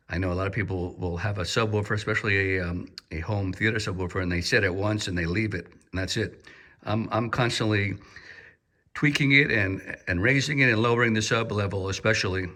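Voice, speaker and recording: A man's voice: sits low, sharp tone. A man giving a casual, explanatory audio gear demonstration, speaking in short, piecemeal phrases as a reviewer. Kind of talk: casual explanatory product demo.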